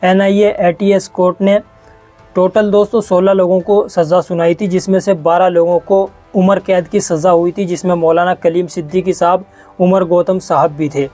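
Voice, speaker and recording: A man's voice: sits high, flat delivery, moderate pace, darkish tone. A man presenting news informally to viewers, explaining and rephrasing his points as he goes. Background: background music.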